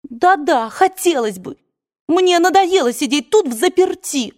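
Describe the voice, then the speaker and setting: A woman's voice: expressive, measured pace, darkish tone. A woman reading an audiobook voices a willful, spoiled young woman who pleads and bursts out in tearful anger. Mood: petulant pleading. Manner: pleading, rising to shrill outbursts.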